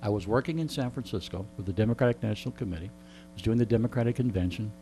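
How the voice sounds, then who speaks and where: Wry middle-aged storyteller over a hum. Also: male voice, on the low side, fast talker, mellow, low-quality recording. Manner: wry, joking, digressive. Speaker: interview guest and storyteller. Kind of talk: casual anecdotal storytelling. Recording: clear background mains hum.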